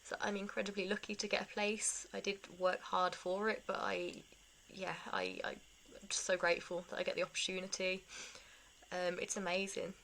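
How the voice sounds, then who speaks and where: Young female vlogger, casual rambling delivery with self-deprecating asides, mid pitch, creaky edge. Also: flat delivery, normal speed, fairly bright tone, a little hiss or hum, low-quality recording. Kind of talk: casual life-update chat to camera.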